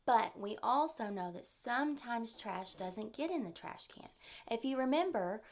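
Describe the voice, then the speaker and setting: A woman with a fairly high voice and a touch of vocal fry leads storytime like a teacher. She takes her time, using simple words and clear phrases meant for small children. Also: animated, a little slow. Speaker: teacher reading to young children. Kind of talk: storytime lesson with read-aloud and simple explanations.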